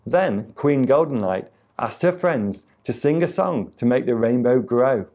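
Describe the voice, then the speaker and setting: Male storyteller, children's picture book reading, slow pace, mellow tone, expressive and deliberate. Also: mid-range voice. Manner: deliberate, expressive reading with acted sound effects. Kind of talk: storytime narration of a children's tale.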